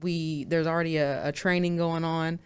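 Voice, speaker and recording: A young woman, a podcast guest, speaking in a measured, informative way. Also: low-pitched, fairly even intonation, smooth. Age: young adult. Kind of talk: casual discussion.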